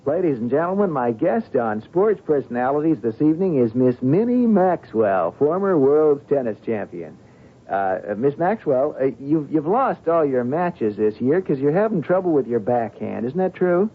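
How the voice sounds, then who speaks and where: A man's voice: on the high side, sing-song, normal speed. Stammering, tinny male sports host. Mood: puzzled. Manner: stammering, repeats words. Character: bumbling, earnest male sports interview host. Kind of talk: radio drama acting. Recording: thin, tinny, husky-sounding broadcast.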